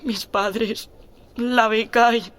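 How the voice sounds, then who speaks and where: A woman's voice: a little high, fairly even intonation, extremely slow, fairly bright tone. A woman playing an anxious young female athlete, breathless and near tears, afraid of failing, in an audio drama. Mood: fearful, confessing. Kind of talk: acted audio drama dialogue.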